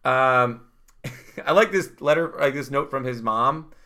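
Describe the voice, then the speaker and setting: Male podcast host, loose and laughing, bright tone, voice going hoarse. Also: medium pitch, a little slow. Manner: chatty, laughing, lots of "uh" and "like". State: losing his voice. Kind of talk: casual chat.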